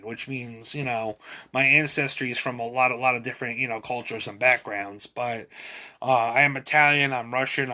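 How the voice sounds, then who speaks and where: Male vlogger, sharp bright tone, loose halting monologue with many restarts. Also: medium pitch. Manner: repeats and restarts words often, many fillers. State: nasal drip, clears throat. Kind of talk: rambling personal monologue.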